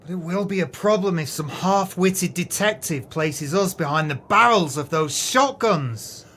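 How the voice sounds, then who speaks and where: Man playing nervous criminal partner, fairly high voice, grave, cautious, faint noise behind. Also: animated, unhurried, pushed and bright, full character voice. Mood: anxious. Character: anxious, cautious male accomplice in murder. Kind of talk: audio drama acting.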